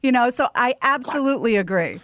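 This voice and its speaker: A woman co-hosting a talk show, telling a personal story in casual, animated chat and quoting what others said. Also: on the high side, a little fast, dry recording. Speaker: show co-host.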